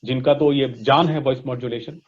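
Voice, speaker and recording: A man's voice: medium pitch, steady tone, fairly quick. A man with an Indian accent explaining as a coach in an interview, mixing Hindi and English and often checking that the listener follows. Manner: didactic, often checking with "right?" and "okay?". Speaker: voice modulation coach being interviewed. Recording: dull, lo-fi sound.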